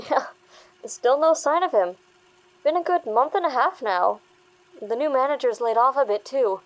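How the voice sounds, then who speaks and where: A woman's voice: sits high, normal speed, close to an everyday voice, low-quality recording. A woman playing an anxious, wary young therapy patient in an audio drama, earnest and hesitant in manner. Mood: relaxed. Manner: hesitant, earnest, stammering under pressure.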